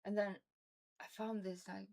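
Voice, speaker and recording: A woman's voice: medium pitch, flat delivery, moderate pace, creaky, a little mellow. A woman from Norway with a Norwegian accent, chatting casually on a game stream with a toothache, rambling and laughing often. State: has a toothache.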